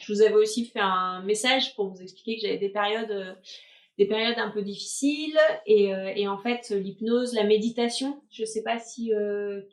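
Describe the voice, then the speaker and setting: A woman's voice: medium pitch, steady tone, moderate pace, roomy sound. A woman, an artist hosting her live stream, chatting casually with frequent fillers.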